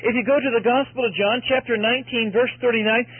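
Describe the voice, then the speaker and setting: Male preacher, very high raspy voice, earnest exhorting delivery with repeated phrases, evangelistic sermon. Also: steady tone, fast, no echo, phone-quality sound. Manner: earnest and exhorting, with repetition for emphasis.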